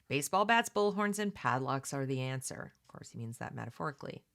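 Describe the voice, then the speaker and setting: A woman's voice: very deep voice, lively intonation, fast talker, gravelly, a bit bright. A woman hosting a read-along, mixing reading aloud with casual, opinionated commentary in an emphatic, conversational way that addresses listeners directly.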